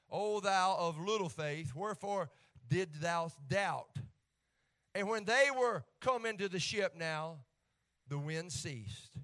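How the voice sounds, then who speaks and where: Appalachian preacher guy, folksy and jokey, gets fervent reading scripture, kinda high voice. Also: pushed and bright. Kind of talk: sermon.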